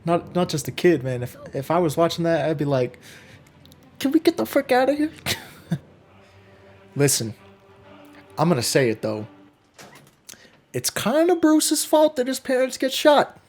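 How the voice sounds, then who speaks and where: Hoarse, chatty young man reacting to a film. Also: fairly high pitch, measured pace, pauses often, faint music behind. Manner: chatty, excitable, lots of fillers and laughs. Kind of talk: casual movie reaction commentary.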